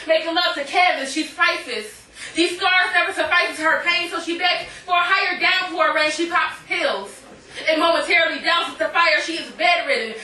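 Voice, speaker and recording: A woman's voice: extremely high pitch, pushed and bright. A woman poet performing a live spoken-word reading of verse. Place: indoor cafe poetry night. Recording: echoey live room, distant microphone.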